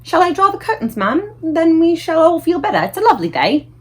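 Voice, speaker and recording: Woman playing an indignant, loud middle-aged maid. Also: extremely high pitch, very animated, fairly quick, voice pushed up. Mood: attentive. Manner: scolding, emphatic.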